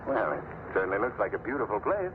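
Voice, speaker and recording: An actor playing an assured, reassuring gentleman traveler in an old radio drama. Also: on the low side, very animated, fast talker. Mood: calm. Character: confident young gentleman traveler. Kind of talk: 1930s radio acting. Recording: tinny, hissy old radio broadcast.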